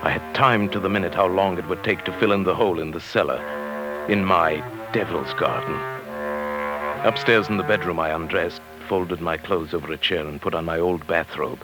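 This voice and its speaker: Guy acting as deep voiced british henpecked professor, mild and deliberate, secretly murderous, 1940s radio play w music behind. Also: natural voice. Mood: brooding narration. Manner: hesitant, mild, deliberate, formal. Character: meek henpecked professor husband, quietly murderous schemer.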